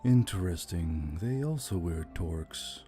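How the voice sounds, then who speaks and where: A man voicing a pensive spirit that lives in a magic torque, musing in a measured way in an audiobook. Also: low voice, expressive, mellow. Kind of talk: audiobook character dialogue. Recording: music under the voice.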